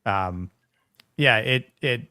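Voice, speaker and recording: A man's voice: mid-range voice, very slow, sharp tone, clean tone, dry recording, clean studio sound. A man hosting a casual film discussion, rambling with many fillers and frequent laughs. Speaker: podcast host.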